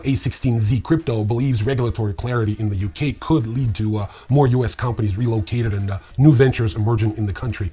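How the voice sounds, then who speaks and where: A man in his fifties with a husky voice, hosting a news commentary to camera with dry, sardonic humour. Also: fairly low pitch, dark tone. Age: 50s. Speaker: host of a crypto newsreel. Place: small indoor study with bookshelves.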